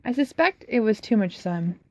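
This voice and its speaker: A woman's voice: fairly high pitch, sing-song, moderate pace, dark tone, no room sound. Frederick, kind mild young man, read by a woman. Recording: slightly dull, dry recording.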